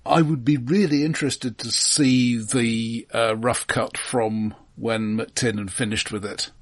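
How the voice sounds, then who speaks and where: A man co-hosting a film podcast, talking casually in a dry, measured way. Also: mid-range voice, steady tone.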